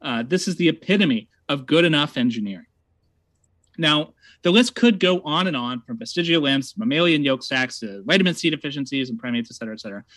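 A man's voice: fairly high pitch, fairly bright tone, dry recording. A man making a prepared debate argument over a video call, emphatic and wry.

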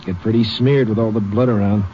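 A man's voice: on the low side, steady tone, fairly quick, slight background noise, close to an everyday voice. An actor playing a plainspoken local lawman briefing a ranger, in a 1940s radio drama. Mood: matter-of-fact. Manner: plain, folksy and matter-of-fact. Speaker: patrolman. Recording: dull old radio broadcast with crowd murmur.

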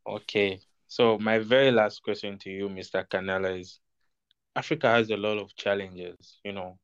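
A man hosting a podcast, asking long questions calmly. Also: lowish voice, moderate pace, smooth, lo-fi sound. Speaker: podcast host. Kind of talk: interview questions.

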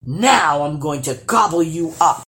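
A woman voicing a huge, hungry male troll from a children's storybook, gruff and blustering, with a roaring, drawn-out delivery. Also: low voice, animated, a bit bright, heavily acted. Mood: menacing.

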